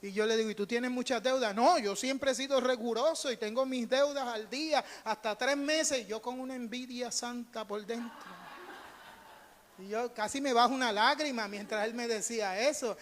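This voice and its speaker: Puerto rican guy pastor giving a sermon, kinda high voice, storytelling and emphatic, long hall reverb. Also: normal speed, a bit bright. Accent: Puerto Rican Spanish. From Puerto Rico. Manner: emphatic, repeats phrases for emphasis, acts out dialogue. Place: church sanctuary during a live service. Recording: reverberant hall, live church service.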